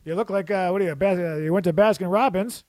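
A man's voice: sits high, fast, a little mellow, no echo, studio quality. A man chatting casually and jokingly, rambling through stories with frequent restarts. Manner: rambling, jokey, restarts often. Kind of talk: casual chat.

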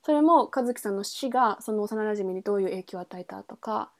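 A woman's voice: mid-range voice, animated, brisk, darkish tone. A woman podcast host talking casually and informally, thinking aloud and correcting herself as she explains things. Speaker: podcast host introducing a book. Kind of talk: casual chat explaining a novel.

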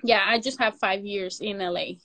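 A woman's voice: mid-range voice, steady tone, normal speed, sharp tone, no echo. A woman from Mexico City with a Mexican Spanish accent and Spanish as her first language, talking casually as an interview guest, rambling with lots of fillers over a video call. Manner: rambling, frequent "like" fillers, non-native grammar, laughs often. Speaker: guest, makeup and prosthetic artist.

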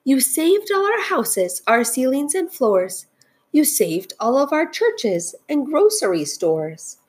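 A woman's voice: high-pitched, sing-song, slow pace, darkish tone, voice pushed up, full character voice. A woman voicing a tiny, grateful mayor of a miniature people in a storybook, with a small piping voice and courteous manner. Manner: friendly, courteous.

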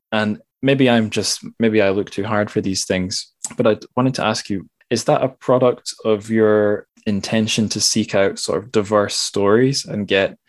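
A man with a fairly low voice hosts a casual interview. He thinks aloud and rambles a little, rewording his points as he goes. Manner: rambling, self-correcting, thoughtful. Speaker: podcast host interviewing a guest.

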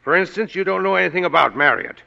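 An actor playing a gruff, sarcastic male police inspector in a 1940s radio drama. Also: high voice, fast talker. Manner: sarcastic, blunt. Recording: dull old radio broadcast in a long-reverb hall.

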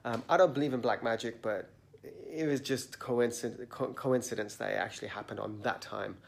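A man with a mid-range voice and a slightly blocked nose delivers a reflective motivational monologue. He speaks earnestly and deliberately, leaning on key words. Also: medium pitch, creaky. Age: adult, a parent. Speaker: motivational podcast host. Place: sitting indoors at dawn.

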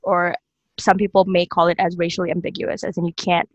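A woman's voice: lowish voice, level tone, brisk, slightly rough, no echo, dull audio. Young woman, dry close sound. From Indonesia. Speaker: podcast host. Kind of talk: casual panel discussion.

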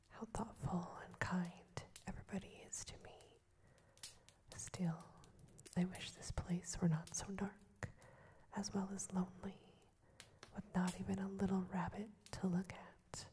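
A woman's voice: fairly low pitch, flat delivery, measured pace, warm tone, lightly acted. A woman whispering the part of a lonely, wistful fir tree that looks back on its happier days in a storybook reading.

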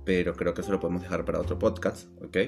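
Venezuelan guy on a podcast, low voice, animated stories, faint guitar music behind. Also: rapid-fire, vocal fry. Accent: Venezuelan Spanish, partly Argentine-tinged. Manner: lively storyteller, acts out quoted dialogue. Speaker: podcast co-host. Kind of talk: casual podcast chat.

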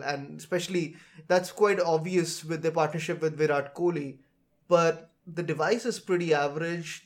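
A man, chatting casually as a tech podcast host. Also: fairly high pitch.

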